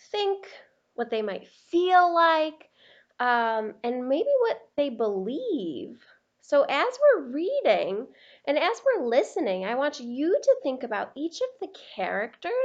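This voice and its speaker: A woman teacher explaining a picture book to children in an instructive way, with deliberate emphasis. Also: high-pitched, lo-fi sound. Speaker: teacher reading aloud.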